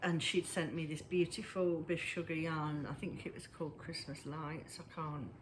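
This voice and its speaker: A woman chatting casually to camera about her knitting, a little breathless and wheezy, pausing often as she talks. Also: low voice, little pitch movement, slight background noise. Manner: halting, pauses often. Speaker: knitting vlogger. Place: at home indoors.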